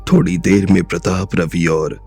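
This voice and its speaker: A male narrator with a very deep voice and a gravelly fry. He tells the story in a steady, scripted way. Soft music plays underneath. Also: moderate pace.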